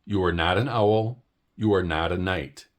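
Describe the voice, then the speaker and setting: A man's voice: low-pitched, unhurried. A man voicing a tired, dignified male owl knight in a storybook read-aloud, speaking in a weary, stiff and formal way.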